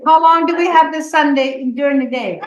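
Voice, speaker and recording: A woman's voice: high voice, a little fast, echoey room, highs cut off, slight background noise. A woman teacher in a classroom, hosting a video call and prompting her pupils to speak. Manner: prompting children, conversational.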